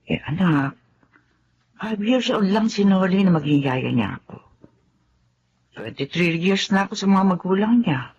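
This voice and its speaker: A woman's voice: fairly low pitch, lively intonation, measured pace, pauses often, a little mellow, muffled recording, a little hiss or hum. An actress playing a devoted middle-aged widowed housemaid and mother in a Filipino radio drama, earnest and confiding. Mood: concerned, earnest.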